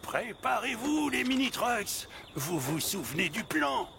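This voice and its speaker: An actor playing a jolly, bossy prankster pirate captain truck in a French children's cartoon, theatrical and commanding, with loud music behind. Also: male voice, sits high, very animated, measured pace, vocal fry, very noisy, heavily acted. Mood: conspiratorial. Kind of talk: animated children's cartoon acting.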